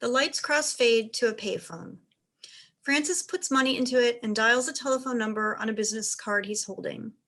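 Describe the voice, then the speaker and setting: A woman's voice: on the high side, normal speed, fairly bright tone. A woman reading scripted stage directions as the narrator of a play.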